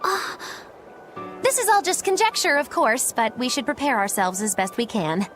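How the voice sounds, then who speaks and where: A woman's voice: sits high, very animated, moderate pace, a bit bright, soft background music. A sly, sing-song witch. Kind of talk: game dialogue dub. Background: game score.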